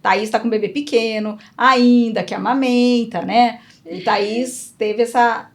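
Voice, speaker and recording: A woman's voice: a little high, steady tone, unhurried. A woman hosting a health podcast, thanking guests and giving an upbeat sign-off. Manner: warm thanks and upbeat outro. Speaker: podcast host.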